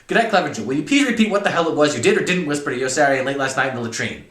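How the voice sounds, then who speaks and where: A man voicing a furious, bullying military colonel from a novel, shouting and badgering in exasperation. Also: fairly high pitch, animated, very fast, roomy sound, voice pushed up. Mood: sneering, menacing.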